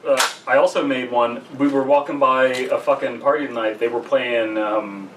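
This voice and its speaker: A man's voice: mid-range voice, fairly even intonation, moderate pace. A man co-hosting a podcast, chatting casually and reading aloud in a rambling, self-mocking, profane way. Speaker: podcast co-host. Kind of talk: casual chat and story reading. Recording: echoey room, distant mic, faint noise.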